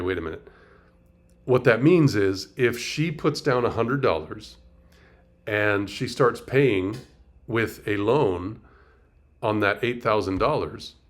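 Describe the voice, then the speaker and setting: A middle-aged man, a pastor, teaching a conversational Bible study, breaking down word meanings and asking rhetorical questions. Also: on the low side.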